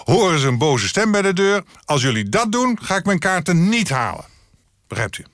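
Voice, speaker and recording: A man's voice: high voice, very animated, a bit bright, dry recording, studio quality. A man playing a pedantic, formal company chairman being interviewed in a satirical radio show. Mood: deadpan joking. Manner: formal, businesslike, measured. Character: earnest male corporate board chairman, pedantic, telling crude jokes.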